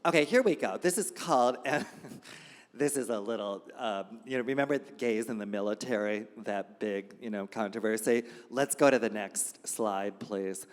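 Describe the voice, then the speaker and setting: A man's voice: fairly low pitch, normal speed. Man hosting in a reverberant hall. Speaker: host and reader at a live reading event. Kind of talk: live event hosting and reading of a satirical editorial. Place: indoor gallery event space. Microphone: handheld event microphone. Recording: reverberant hall live recording.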